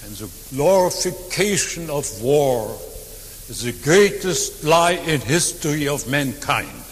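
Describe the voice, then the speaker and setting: A man in his eighties whose first language is German, giving earnest testimony to an audience, slow and deliberate, rising to emphatic swells and dropping to quiet near-whispers. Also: fairly high pitch, big pitch swings, slightly rough, in a large hall. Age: 80s. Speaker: war veteran speaker.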